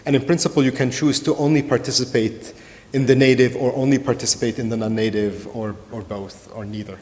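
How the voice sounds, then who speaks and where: A man's voice: medium pitch, fairly even intonation, fairly quick, a little mellow, big-room sound. A man leading a conference Q&A discussion as organizer, hesitant with many fillers and restarts. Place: conference room.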